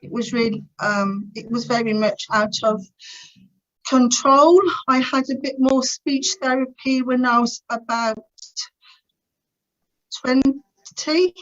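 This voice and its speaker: A woman's voice: fairly high pitch, fairly even intonation, takes it slowly, a bit bright, highs cut off. Stammering woman in her fifties. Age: 50s. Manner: stammers, with frequent repeated sounds and blocks. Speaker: conference speaker. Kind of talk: personal talk at an online conference. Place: at home on a video call.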